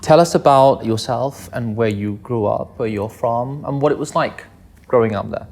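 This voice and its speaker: A man hosting a podcast, asking a guest questions in a polite, soft-spoken way. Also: mid-range voice, moderate pace. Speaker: podcast host. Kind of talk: interview questions.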